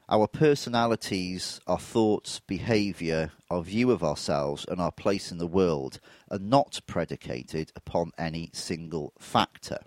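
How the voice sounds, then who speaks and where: A man's voice: deep voice, unhurried, darkish tone, no room sound. A man in his forties hosting a solo podcast, reflective and candid, his voice throaty from a touch of flu. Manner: reflective, candid, self-deprecating. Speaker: podcast host.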